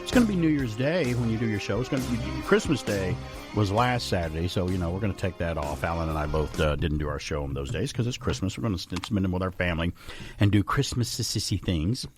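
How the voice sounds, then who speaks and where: A man co-hosting a radio show, chatting casually and jokingly, with a low gravelly voice. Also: over music. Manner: animated, jokey, fast talker with impressions of others. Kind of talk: radio chat show banter. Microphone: studio mic.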